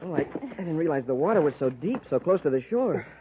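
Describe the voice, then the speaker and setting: Muffled vintage radio drama, young cadet, animated, high for a man, eager, excitable. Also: a little fast. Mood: excited. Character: eager, respectful young male space cadet. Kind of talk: 1950s radio serial acting. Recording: muffled, noisy old 1950s radio recording.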